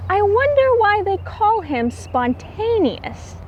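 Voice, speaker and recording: A woman reading a story aloud to young children as a teacher, expressive and explaining things simply. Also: very high-pitched, takes it slowly, dull audio, slight background noise.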